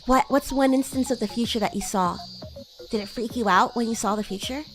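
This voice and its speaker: A woman's voice: fairly high pitch, a little fast, faint music behind. A woman VTuber chatting casually on a livestream in a girlish, rambling, conversational way. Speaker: VTuber streamer.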